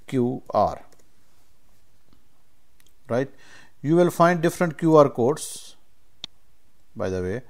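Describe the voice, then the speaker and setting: A man giving an informal step-by-step software tutorial as instructor, speaking haltingly and often checking in with short tags. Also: mid-range voice, vocal fry. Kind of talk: informal screen-share tutorial, explaining step by step.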